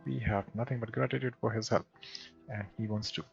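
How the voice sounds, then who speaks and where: Male game streamer, gravelly low read-aloud with casual asides, music underneath, lo-fi mic. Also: fairly even intonation, brisk. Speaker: game streamer playing through a fantasy game and reading dialogue aloud. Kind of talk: reading game dialogue aloud with casual commentary.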